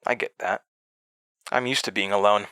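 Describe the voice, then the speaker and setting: A man's voice: on the low side, fairly even intonation, normal speed, bright, forceful tone, clear, resonant tone, dry recording. A man in a horror audio drama playing a smug, menacing entity that speaks through a young man's voice, mocking and condescending. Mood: wry, guarded.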